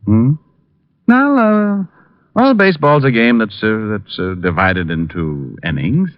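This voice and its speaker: Wry, low-voiced American radio detective. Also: male voice, very animated, unhurried, no room sound. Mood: flustered. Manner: hesitant, stammering, wry. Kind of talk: 1940s-50s American radio acting. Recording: dull vintage radio recording.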